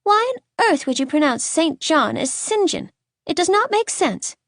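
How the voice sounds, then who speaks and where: A woman acts out a curious little girl from a storybook, pitched high. She is prim, chatty and sure of her opinions, in a dry, close recording. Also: big pitch swings, normal speed, fairly bright tone, no room sound, heavily acted. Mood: bright, opinionated. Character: bright, inquisitive, forthright young girl.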